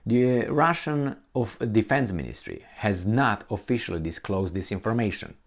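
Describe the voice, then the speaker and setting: A man with a Romanian accent reads a news article aloud and keeps breaking off to comment in a loose, casual way. His voice sits a little low, and his talk is full of fillers, restarts and corrections as he drifts between topics. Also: big pitch swings, normal speed. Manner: rambling, digressive, with many fillers and self-corrections.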